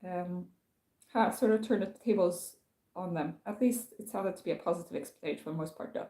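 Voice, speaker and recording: A woman's voice: lowish voice, normal speed, mellow, echoey room. A young woman from France chatting on her own livestream, rambling with lots of fillers and restarts. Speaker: channel host. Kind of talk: casual livestream chat.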